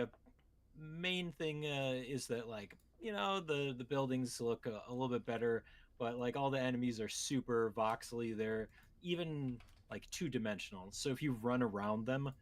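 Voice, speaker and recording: A man co-hosting a podcast, chatting casually about video games and explaining things in a meandering, conversational way. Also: medium pitch. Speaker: podcast co-host. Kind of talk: casual video game chat.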